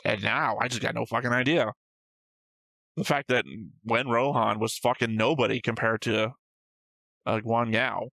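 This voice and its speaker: A man's voice: mid-range voice, fairly even intonation, moderate pace, creaky, pushed and bright, no echo. A man talks through his thoughts as a reaction show co-host, in an analytical but casual way. He rambles, swears freely and reasons aloud as he goes. The sound is dry and close. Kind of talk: casual analytical chat about an anime episode.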